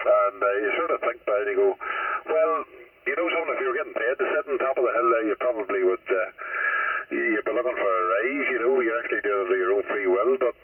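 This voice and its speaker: A man's voice: fairly low pitch, fast talker, recorded from a distance. A middle-aged man with a Northern Irish accent chatting casually and ramblingly over the radio, hesitant and wryly self-deprecating. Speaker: amateur radio operator. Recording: husky-edged radio link, tinny narrow band.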